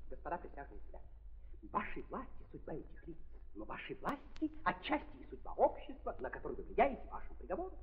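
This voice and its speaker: An actor playing a pompous prosecutor making a florid, theatrical speech to a jury in old radio theatre style. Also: male voice, sits high, wildly swooping pitch, quick pace. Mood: solemn, urging. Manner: theatrical, rhetorical, repeating filler phrases grandly. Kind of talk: 1930s radio theatre courtroom oration. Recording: tinny, narrow-band 1930s radio recording.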